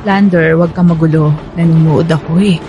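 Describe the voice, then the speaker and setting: A woman's voice: sits low, steady tone, normal speed, lightly acted. An actress playing a young, inquisitive, sulky girlfriend in a Filipino radio drama. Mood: sulky, annoyed. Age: young adult.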